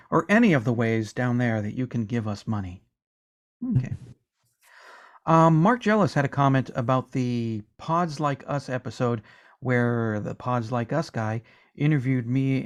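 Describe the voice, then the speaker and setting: A man's voice: mid-range voice, moderate pace, lo-fi sound. Joking male podcast co-host. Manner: jokey, reads listener comments aloud. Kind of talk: casual podcast banter.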